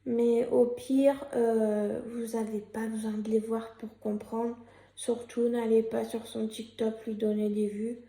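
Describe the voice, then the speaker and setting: Hoarse young woman with a cold. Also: on the high side, level tone, slow pace, warm tone, reverberant. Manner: hesitant, many fillers and restarts. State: has a cold and a sore throat. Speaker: YouTuber. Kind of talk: casual vlog commentary, partly read from a script.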